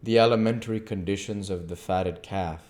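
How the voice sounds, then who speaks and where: A man reading a classic novel aloud as an amateur narrator, measured, with the odd stumble. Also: low voice, darkish tone. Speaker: amateur book reader.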